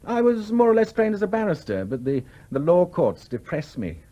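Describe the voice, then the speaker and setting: An actor playing a charming, idle English gentleman in a 1970s radio play, suave and teasing with small hesitations. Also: high voice, wildly swooping pitch, moderate pace, lo-fi sound. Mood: bored, restless. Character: charming, flirtatious, idle well-off English gentleman, restlessly bored.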